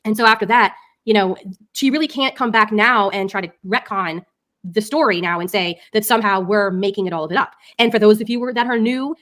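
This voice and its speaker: A woman's voice: mid-range voice, a bit bright. A woman giving informal, opinionated online commentary in an emphatic way, with casual swearing. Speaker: commentary streamer.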